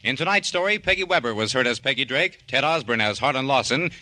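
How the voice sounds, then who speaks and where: A man announcing in formal 1950s radio style, with projected, crisply enunciated delivery. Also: mid-range voice, lively intonation, fast, pushed and bright, no room sound.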